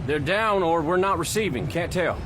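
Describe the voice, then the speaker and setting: Man acting a high-voiced tense military officer. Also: lively intonation, normal speed, noisy background, close to an everyday voice. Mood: urgent. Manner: clipped reporting. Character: tense, dutiful male military operations officer. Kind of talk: cinematic sci-fi game acting.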